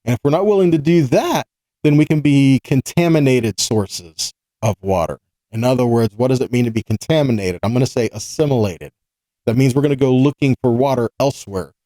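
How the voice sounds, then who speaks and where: A man's voice: medium pitch, a little fast, dark tone, no echo. A man giving a sermon-style scripture teaching, explaining step by step and posing rhetorical questions to his listeners. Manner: didactic, asking rhetorical questions and checking in with "right?".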